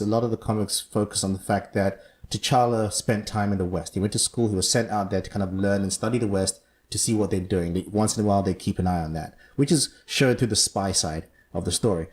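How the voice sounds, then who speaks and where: A man hosts a casual podcast chat. His voice sits low, and he explains things in long, animated runs. He is from Zambia. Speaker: podcast host.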